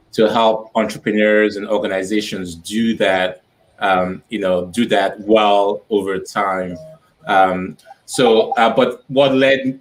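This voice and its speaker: A man's voice: fairly low pitch, low-quality recording. A man from Nigeria, a guest in a casual interview on a video call, talking thoughtfully and repeating words as he builds a point. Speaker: entrepreneur guest.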